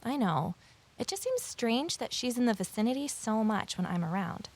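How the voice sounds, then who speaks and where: A woman's voice: fairly high pitch, big pitch swings, normal speed, darkish tone. A woman playing a reserved, practical, watchful tour guide in an audio drama, with a plainspoken, slightly hesitant manner. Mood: suspicious.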